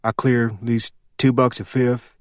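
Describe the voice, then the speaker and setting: A man voicing a slow-witted young man from who sells moonshine and answers politely. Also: medium pitch, dry recording, studio quality, close to an everyday voice. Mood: dim. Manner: flat, dim, deferential.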